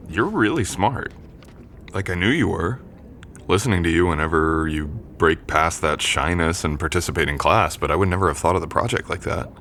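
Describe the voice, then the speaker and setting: A very deep young male voice from an actor plays a college jock in a boyfriend roleplay. He is confident and teasing, with a gentle, protective streak. The delivery is intimate and conversational, with some background noise.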